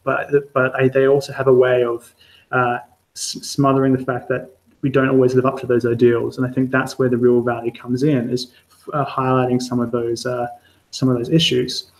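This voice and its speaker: A man's voice: mid-range voice, little pitch movement, moderate pace, low-quality recording. A man from Adelaide with an Australian accent, a freelance journalist giving a candid talk to an online audience, hesitant, with many fillers and restarts. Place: home or studio for a live stream. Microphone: streaming setup.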